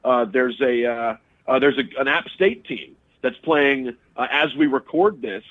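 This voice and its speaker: A man talking sports as a guest analyst in a conversational, halting way, often repeating words mid-sentence. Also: medium pitch. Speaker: sports radio host and play-by-play broadcaster, podcast guest. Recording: tinny, phone-like remote connection.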